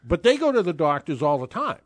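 A man in his sixties hosts a car show in a casual, rambling way, often restarting his sentences. His voice sits a little high for a man. The sound is dry and close, with no room around it. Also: big pitch swings, a little fast, no echo. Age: 60s. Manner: chatty, rambling with frequent restarts. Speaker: radio car show host. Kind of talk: casual radio talk.

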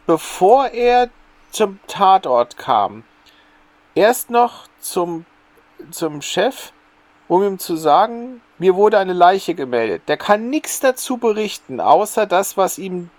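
A man's voice: high-pitched, very animated, slow pace, no room sound. A man co-hosting a podcast in casual discussion, arguing his points and reasoning things through. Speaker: podcast co-host.

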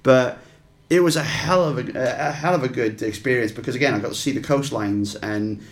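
A man's voice: medium pitch, a little fast. Chatty Welsh man, rambling. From Wales. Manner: rambling, with many fillers and restarts. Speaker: guest musician. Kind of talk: casual interview chat.

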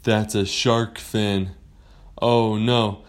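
A storyteller voicing a young female adventurer, nervous and excitable, exclaiming and questioning in a children's story. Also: medium pitch, very slow.

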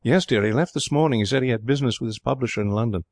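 Man putting on a gentle, reproving mother. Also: medium pitch, rapid-fire, vocal fry, no room sound, natural voice. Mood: gently reproving. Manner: mildly reproving. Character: gentle, indulgent mother, easily shocked.

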